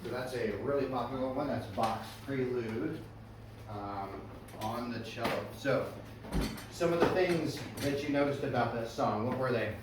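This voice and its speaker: A man's voice: mid-range voice, moderate pace, very noisy. Man, music teacher, classroom lesson, quizzing students, distant mic, very echoey room. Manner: friendly questioning of students, frequent "right?" and "okay".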